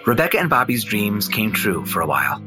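A man narrates with a low voice in a measured, folksy storytelling style. He plays a chronicler of an old music legend, with plucked guitar clearly behind him. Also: big pitch swings. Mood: wry, reflective. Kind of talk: scripted narration.

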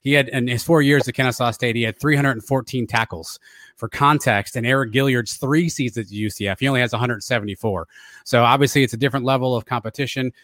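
Male sports podcast analyst, American, raspy, brisk delivery, dry close sound. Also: mid-range voice, fairly bright tone, no echo. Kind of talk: casual sports talk analysis.

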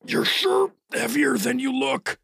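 A man playing a hapless, polite, excitable everyman in a fantasy audio drama, folksy and easily flustered. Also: very high-pitched, slow pace, creaky, husky, no room sound, clean studio sound, full character voice. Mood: straining, panicky.

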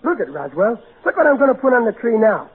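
A man's voice: very high-pitched, very animated, quick pace, a little hiss or hum. An actor playing a blustering, folksy working-class family father with a Brooklyn accent in 1940s radio comedy, on a tinny recording. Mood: cheerful, proud. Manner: folksy, ungrammatical, easily flustered.

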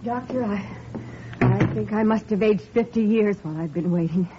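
Actress as anxious wife, crackly old radio. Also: female voice, mid-range voice, moderate pace, lightly acted. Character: anxious, worn-out wife of a patient. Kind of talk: 1940s radio drama acting. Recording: muffled, noisy old radio recording.

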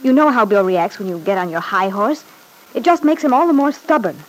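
An edgy young heiress of old radio melodrama, acted by a woman, with a mid-pitched voice. She sounds nervous and pleading, hesitating like someone with a secret. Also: animated, fairly quick, close to an everyday voice, low-quality recording. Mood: urgent, cautioning. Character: anxious, edgy wealthy daughter with something to hide. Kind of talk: classic radio soap opera acting.

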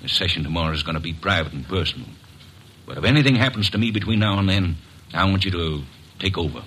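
A big grim bearded tough guy, deep voice, bright bite to it, blunt, old radio drama. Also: animated, quick pace, a little hiss or hum. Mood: grim, insistent. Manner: blunt, gruff, determined. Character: big burly bearded man, grim and vengeful. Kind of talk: 1940s radio acting.

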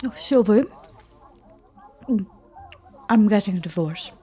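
A woman's voice: medium pitch, lively intonation, slow, dark tone, slightly rough. A woman playing a wry, theatrical, unhappily married American in an audio drama. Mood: miserable, earnest.

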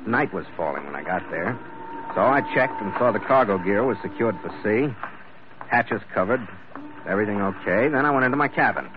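An actor playing a tough, dry, plain-spoken sea captain who also narrates, in old-time radio drama style. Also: male voice, lowish voice, lively intonation, brisk, very noisy, natural voice. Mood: matter-of-fact narration. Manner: wry, terse, plain-spoken. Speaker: lead and narrator. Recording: slightly dull old radio recording.

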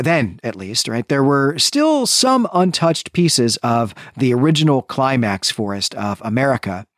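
A man's voice: medium pitch, dry recording. A man with a mid-range voice hosts a book discussion podcast. He talks in a discursive, enthusiastic way, working through details as he goes. The sound is close and dry. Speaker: podcast host.

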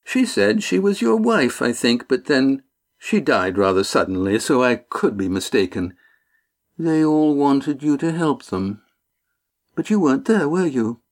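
A man voicing a menacing, sneering ship's master in an audiobook, with a smooth, taunting, overbearing manner. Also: medium pitch, sing-song, takes it slowly, heavily acted. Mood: menacing mockery. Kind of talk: audiobook character dialogue.

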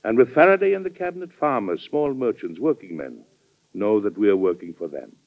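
An actor playing a measured, conciliatory president in an old radio drama, on a tinny vintage recording. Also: male voice, on the low side, lively intonation. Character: calm, conciliatory male president. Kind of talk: 1940s radio drama acting.

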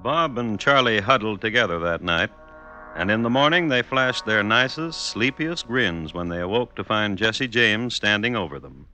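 A man's voice: on the low side, lively intonation, moderate pace, bright, forceful tone, soft background music, low-quality recording. A man narrating a scripted radio western as a wry storyteller.

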